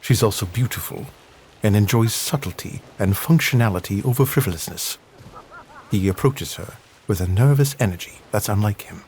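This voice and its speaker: A man giving scripted dramatic narration for an audio adventure drama, with a husky voice. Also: lowish voice, lively intonation, moderate pace, darkish tone, a little hiss or hum. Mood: measured.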